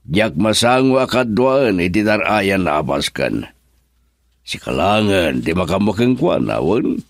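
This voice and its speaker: A man's voice: low-pitched, big pitch swings, a little slow, vocal fry, dry recording, full character voice. An actor with a Filipino accent playing a commanding, busy male boss in a radio drama. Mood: brisk, commanding. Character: busy male boss giving orders to his driver.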